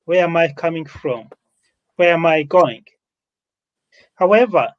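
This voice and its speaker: A man's voice: a little high, lo-fi sound. A man with a Ugandan accent speaks off the cuff as host and speaker at an online summit, emphatic and repeating himself for effect.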